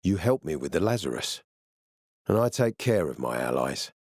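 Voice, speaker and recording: Terse, menacing military commander, dry close sound. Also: male voice, rumbling low, very animated, normal speed, creaky, a little mellow, breathy edge, no room sound, heavily acted. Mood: guarded warning. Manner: terse, menacing, sardonic, profane. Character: tough, suspicious military peacekeeper commander, gruff and threatening. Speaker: video game voice actor. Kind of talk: video game character dialogue.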